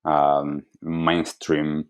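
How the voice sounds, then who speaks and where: A man with an Argentine accent giving reflective interview answers as a wellness coach, explaining deliberately and earnestly. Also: very deep voice, completely flat delivery, clean tone. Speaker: wellness coach, podcast guest.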